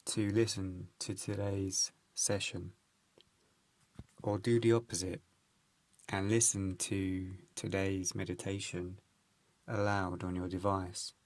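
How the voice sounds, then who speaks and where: A man leading a guided meditation, giving calm instructions in a gentle, unhurried manner with measured phrases and pauses. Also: on the low side, dry recording.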